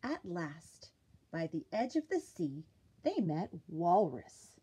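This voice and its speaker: A woman narrates a children's picture book at a leisurely pace. Her voice is soft-edged and mellow, a little rough in texture, and swings expressively up and down as she reads. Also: lowish voice, sing-song, takes it slowly. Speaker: library storytime reader.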